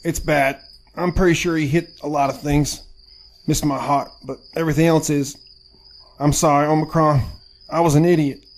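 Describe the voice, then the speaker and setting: An actor playing an earnest, courteous young gentleman recruit of the 1870s in an audio drama. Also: on the high side, fairly even intonation, normal speed, lightly acted, rough recording. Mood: pained. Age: 20s.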